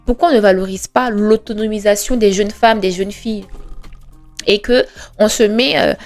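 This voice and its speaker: A young woman in her twenties talks through her thoughts, earnest and conversational, pausing on fillers as she goes. Her voice sits at an ordinary middle pitch, and she leans on rhetorical questions and reassurance. Soft music plays faintly underneath. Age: 20s. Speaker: podcast host. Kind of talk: reflective monologue. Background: faint background music.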